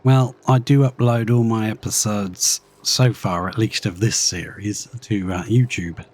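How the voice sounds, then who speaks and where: Low, mellow man streaming a game. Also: fairly even intonation, unhurried. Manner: chatty, thinks aloud and mutters to himself. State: slightly croaky after illness. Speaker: live streamer. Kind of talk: casual gameplay commentary.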